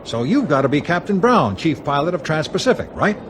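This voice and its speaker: A man playing a genial, smooth-talking American sales executive in a radio drama, effusive and formally courteous. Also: fairly high pitch, big pitch swings, a little fast, noisy background. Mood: affable.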